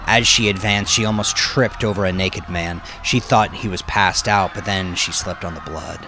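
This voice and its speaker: A man giving grave, deliberate, suspenseful narration of a crime story, with music underneath. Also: low-pitched, fairly even intonation. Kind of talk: dramatic scripted narration of crime fiction.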